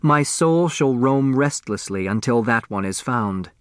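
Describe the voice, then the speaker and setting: A man's voice: mid-range voice, expressive, unhurried, fairly bright tone, clear, resonant tone, no room sound, studio quality. A man voicing a dead tycoon's commanding, theatrical will in an audiobook, in a pompous, oratorical manner. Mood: grave, accusing.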